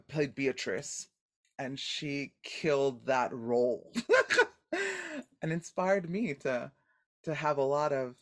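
A woman with a deep voice, a guest actor in a casual podcast interview, telling stories in an animated, expressive way and laughing often.

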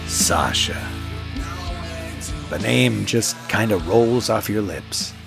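A man's voice: on the low side, expressive, slow pace, gravelly, over music, heavily acted. A young man playing an eager, smitten rookie late-night radio DJ in an audio drama, with a casual, slangy manner. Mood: brooding narration.

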